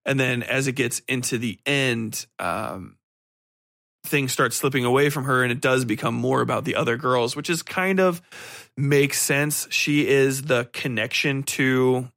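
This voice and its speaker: A man's voice: medium pitch, measured pace, gravelly, a bit bright, dry recording, studio quality. A man hosting a solo podcast, giving casual review commentary in a rambling, think-aloud way with some casual swearing. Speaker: podcast host.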